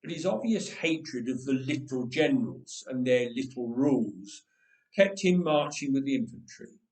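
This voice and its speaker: A man reading a story aloud expressively, with dramatic pauses, emphasis and drawn-out phrases. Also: mid-range voice, lively intonation, darkish tone. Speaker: story reader. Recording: echoey room, distant microphone.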